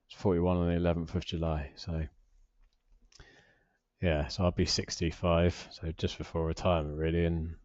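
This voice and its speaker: A British man in his forties with a low voice talks casually through a screen share. He rambles and hesitates, often putting himself down. The recording is slightly dull but quite dry. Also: little pitch movement, normal speed, darkish tone, dry recording. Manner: rambling, hesitant, self-deprecating. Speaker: personal finance vlogger.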